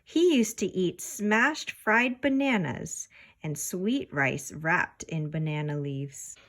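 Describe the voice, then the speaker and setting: Woman playing a gentle, slow-talking storybook mother. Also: on the low side, lively intonation, heavily acted. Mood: fond, gentle. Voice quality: buttery. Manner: encouraging, explaining. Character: gentle, patient mother teaching her young daughter.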